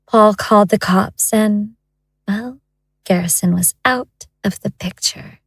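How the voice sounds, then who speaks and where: An actress playing a wry, hard-boiled storyteller, narrating a crime tale in a deliberate, matter-of-fact way. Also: female voice, mid-range voice, no room sound, clean studio sound. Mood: wry, confiding.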